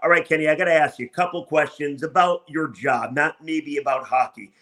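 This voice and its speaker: A man's voice: on the high side, a little fast, a bit bright. An American man hosting a sports talk radio interview, asking questions in an emphatic, effusive, stop-start way.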